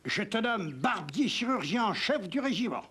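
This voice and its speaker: Cartoon male officer: formal, fair, commanding, extremely high pitch, lively intonation. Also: moderate pace, over loud music. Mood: approving. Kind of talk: animated cartoon dialogue, French dub.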